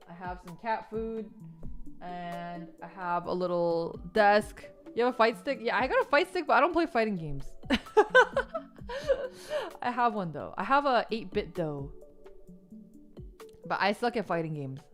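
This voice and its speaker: A woman streamer chatting casually from her home gaming room, rambling and giggling, with lots of ums while she lists her things. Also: mid-range voice. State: stuffed up after a missed sneeze. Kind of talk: casual stream chat while building a model kit.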